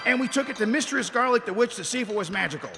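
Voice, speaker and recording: A high, creaky, flustered dwarf king. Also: animated, very fast, music in the background, exaggerated voice. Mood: brisk, fatherly. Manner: hesitant, stammering, apologetic. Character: awkward, fatherly dwarf king breaking news to his son. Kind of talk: live stage radio theatre acting. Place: live convention stage. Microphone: stage microphone on stand. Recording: reverberant hall stage, faint noise.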